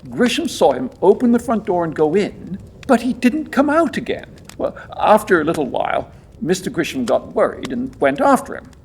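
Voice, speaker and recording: A man's voice: high-pitched, big pitch swings, normal speed, few pauses, a little hiss or hum. A man playing an earnest, deferential and rather agitated police inspector in an audio drama. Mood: baffled, earnest. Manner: emphatic, deferential. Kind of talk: audio theatre acting.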